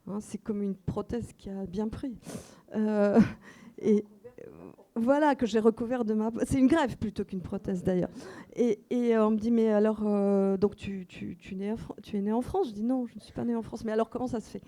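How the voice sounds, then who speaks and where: A woman's voice: mid-range voice, fairly even intonation, normal speed, warm tone. A woman whose first language is Russian, speaking as a guest author at a festival, reflective and hesitant with many fillers. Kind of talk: unscripted festival talk. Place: festival meeting room with audience.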